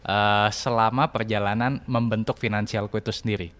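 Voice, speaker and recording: A man's voice: lowish voice, fairly even intonation, moderate pace, a bit bright. A man in his thirties with an Indonesian accent, hosting a casual podcast interview into a close microphone. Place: small office room with bookshelves. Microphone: close podcast microphone on a stand.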